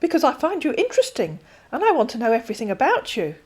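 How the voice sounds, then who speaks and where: Brief: a wheedling, stilted con man who turns bullying, played by a woman reader; high, swooping and overdone. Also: huge pitch swings, quick pace, a little mellow, slightly rough, full character voice. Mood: pushy. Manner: stilted phrasing, syrupy darlings, sudden pushy demands. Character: pushy male online romance scammer, wheedling then bullying. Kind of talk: read-aloud storytelling.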